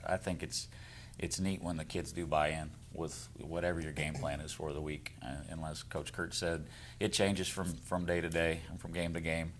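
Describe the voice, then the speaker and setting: A man's voice: rumbling low, flat delivery, normal speed, hardly any pauses, slight background noise. A man with an American accent answering casually in an interview as a basketball coach. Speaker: boys basketball coach and teacher.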